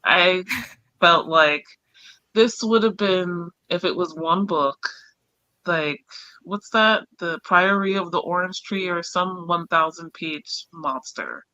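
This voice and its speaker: Female panelist, crisp forward tone in a dry close recording, informal discussion. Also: lowish voice, slow, pushed and bright, no room sound, highs cut off. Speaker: book club panelist. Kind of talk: casual group book discussion.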